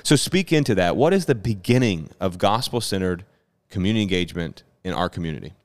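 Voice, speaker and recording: Smooth low male podcast host. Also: animated, quick pace. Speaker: podcast host, church leader. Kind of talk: conversational interviewing.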